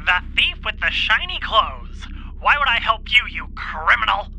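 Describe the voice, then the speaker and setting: A very sharp, piercing, animated voice. A man acts a nerdy young male shop clerk in an audio drama, defensive and petulant, full of his own importance. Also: high-pitched, moderate pace, vocal fry, a little hiss or hum, exaggerated voice. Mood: suspicious, snarky. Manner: snarky, petulant.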